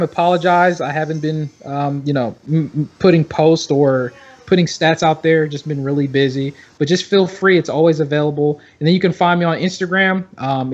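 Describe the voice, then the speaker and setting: A man's voice: on the high side, dull audio. A man co-hosting a fantasy basketball podcast in casual banter, rambling and emphatic, often repeating himself for effect. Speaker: podcast co-host.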